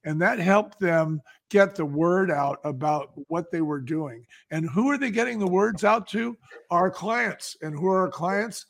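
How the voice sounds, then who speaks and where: An American man with a fairly high-pitched voice speaks as a guest in an interview. He tells his story conversationally and makes points emphatically, often posing a question and answering it himself. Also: lively intonation, measured pace, vocal fry. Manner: emphatic, uses rhetorical questions. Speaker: interview guest, company founder.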